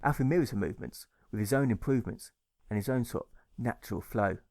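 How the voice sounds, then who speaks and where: A man reading a rhyming audiobook story aloud in measured, rhythmic phrases. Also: medium pitch, gravelly, darkish tone, no echo, clean studio sound. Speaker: author reading his own audiobook. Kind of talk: scripted storybook narration in rhyme.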